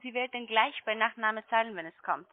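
A woman's voice: a little high, fairly quick, no echo. A woman with a foreign accent, a non-native German speaker, working as a call center agent and explaining things insistently over the telephone. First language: not German. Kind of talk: customer service phone call. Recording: narrow tinny phone line.

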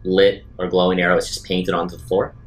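A man with a low voice takes part in a tabletop roleplaying game as a player. He talks casually and at a fast clip. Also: flat delivery. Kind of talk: casual in-game talk and questions.

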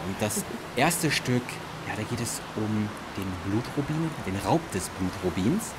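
Lively man presenting in casual chat. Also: lowish voice, a little slow, heavy background noise. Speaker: presenter, writer and actor. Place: living room, indoors.

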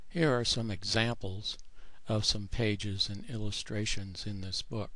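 A man narrating a screen tutorial as the author, explaining plainly in a measured way. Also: on the low side, fairly even intonation, fairly bright tone, no room sound. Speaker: author presenting his own book.

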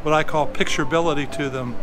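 A man's voice: on the high side, fairly even intonation, fast talker, over music. A middle-aged man, a photographer, talking casually as he walks and shoots, thinking aloud with restarts, recorded on a body-worn action camera. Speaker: photographer vlogger. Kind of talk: casual vlog narration. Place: outdoors and in a train station.

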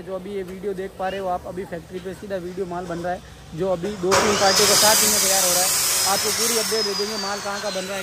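A man giving an improvised, repetitive promotional pitch for his factory's products in running sales patter. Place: welding workshop at a factory. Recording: noisy workshop with hiss and machinery.

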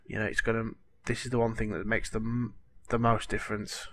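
A man's voice: lowish voice, little pitch movement, a little fast, a bit bright. A man with a cold and a cracking voice, rambling chattily with lots of fillers over game-tutorial footage. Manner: chatty, rambling, apologetic, lots of "you know". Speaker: gaming tutorial host.

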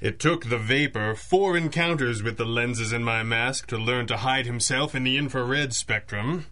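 An actor playing a cool, wry masked crime-fighter in a radio drama, speaking with dry, assured authority. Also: male voice, medium pitch, normal speed, bright, forceful tone, slight background noise, close to an everyday voice. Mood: calm. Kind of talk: 1930s-style radio drama acting.

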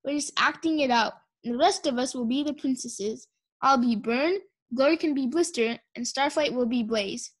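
A woman's voice: high-pitched, moderate pace. A woman voicing a bossy young female dragon, the eldest and biggest, commanding and impatient in a storybook.

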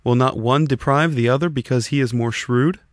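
A man's voice: mid-range voice, normal speed, no room sound, studio quality, lightly acted. A man voicing a sceptical, combative communist who puts probing questions in a scripted audiobook dialogue. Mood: indignant, challenging. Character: sceptical, challenging communist debater.

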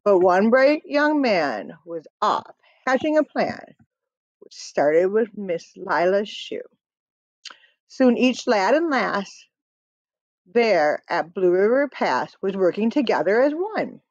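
A woman's voice: medium pitch, sing-song, slow, no room sound. A middle-aged woman reading a children's picture book aloud in a rhythmic, emphatic storytelling manner. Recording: dull lo-fi webcam audio.

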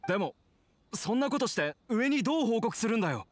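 An actor playing a gentle, wistful young man in a video game dub, earnest and soft-hearted. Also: very high voice, very animated, brisk, slight background noise. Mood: worried. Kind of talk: Japanese game dub acting.

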